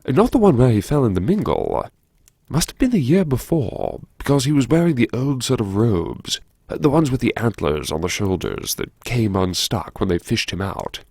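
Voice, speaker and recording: A man narrating an audiobook in the first person, dramatically, with a brooding, wry and deliberate delivery. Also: medium pitch, sing-song, vocal fry, darkish tone, slightly rough, dry recording, studio quality.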